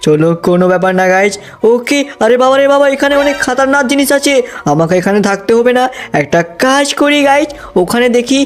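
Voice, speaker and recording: A man's voice: extremely high pitch, expressive, quick pace, few pauses. A man hosting a gaming video, telling the story as he plays and constantly addressing viewers with exclamations. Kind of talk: excited gameplay storytelling commentary.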